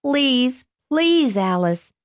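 Woman doing a clingy teen boy in an audiobook, begging, pitched up. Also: slow, no echo, clean studio sound. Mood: pleading. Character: earnest, pleading teenage boy, clingy date.

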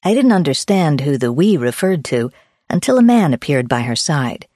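A woman's voice: sits low, big pitch swings, normal speed, mellow, no echo, clean studio sound. A woman reading a first-person memoir as a scripted audiobook narration.

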